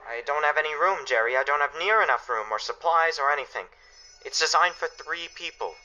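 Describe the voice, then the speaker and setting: An actor playing a stern, anguished father guarding his family, speaking gravely and emphatically in a radio play. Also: fairly high pitch, animated, a little fast, bright, forceful tone, dull audio. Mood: firm, curt. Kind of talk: dramatic radio play acting.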